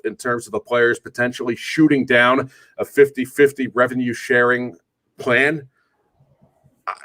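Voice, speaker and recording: A man hosts a sports talk show with a mid-pitched voice. He delivers commentary in a measured, orderly manner, turning directly to his co-host as he moves things along. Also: a bit bright.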